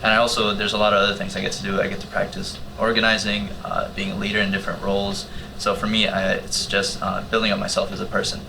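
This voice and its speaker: Gravelly, low-voiced young man. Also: monotone, fast, noisy background. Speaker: youth volunteer organizer. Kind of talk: casual panel talk.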